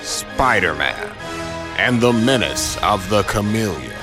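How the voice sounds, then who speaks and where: A man's voice: on the low side, lively intonation, slow pace, music in the background. A man narrating an audio drama from a script in a wry, theatrical way.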